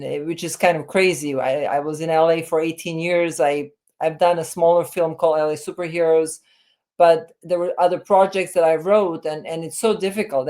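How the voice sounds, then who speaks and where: Woman in her fifties, deep low voice, halting speech full of fillers, video call. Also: a little mellow. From Serbia. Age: 50s. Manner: hesitant, many fillers and restarts. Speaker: film director and writer, guest. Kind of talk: remote interview, reflective storytelling.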